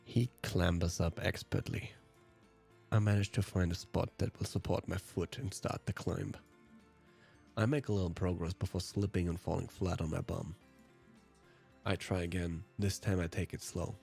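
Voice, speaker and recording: A man's voice: deep voice, steady tone, moderate pace, creaky, darkish tone, faint music behind. A man whose native language is German, hosting a let's play and reading visual novel narration aloud.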